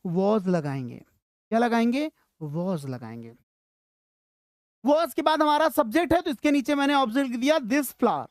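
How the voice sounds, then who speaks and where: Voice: medium pitch, no echo, clean studio sound. A teacher gives a live grammar lesson to school students, asking questions, answering them and repeating key phrases.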